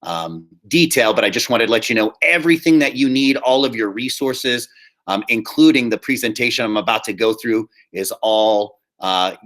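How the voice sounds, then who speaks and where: A man teaching a high school theater class online, explaining slides in a conversational, repetitive way. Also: pushed and bright. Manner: conversational and explanatory, often repeats himself. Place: live online class over video call.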